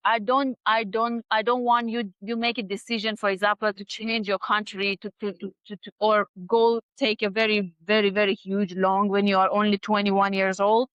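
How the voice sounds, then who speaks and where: A woman's voice: medium pitch, little pitch movement, normal speed, few pauses, a bit bright, clear, resonant tone, no echo, lo-fi sound. A woman in her thirties, English not her first language, co-hosting a casual chat with non-native grammar and repeated words as she searches for phrasing. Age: 30s. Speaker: podcast co-host.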